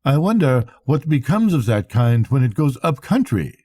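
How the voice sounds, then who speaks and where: Storybook role: bitter, watchful Swedish captain, voiced by a male reader, precise delivery, very dark tone, husky edge. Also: mid-range voice, sing-song, no room sound, clean studio sound, voice pushed up. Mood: bitter, wry. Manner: precise, deliberate speech. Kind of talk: storybook character dialogue.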